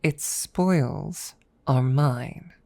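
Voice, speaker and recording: Woman voicing a low, slow, haughty dragon. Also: creaky, dark tone, heavily acted. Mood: haughty challenge. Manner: haughty, teasing, deliberate with pauses. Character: proud, possessive female dragon, smugly teasing a rival. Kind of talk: ASMR audio roleplay, close whispers.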